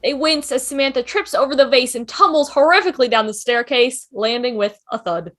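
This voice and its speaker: A woman's voice: sits high, animated, brisk, fairly bright tone. A woman reading stage directions as scripted narration in a fan table read.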